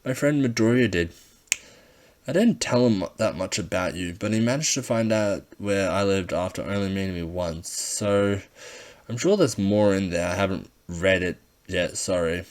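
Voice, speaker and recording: A man's voice: sits low, moderate pace, close to an everyday voice. Man voicing a sly, laconic schoolboy. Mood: falsely sincere. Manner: understated, casual, falsely sincere. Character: laconic, sly schoolboy, coolly feigning innocence. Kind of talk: amateur fan fiction audiobook character voice.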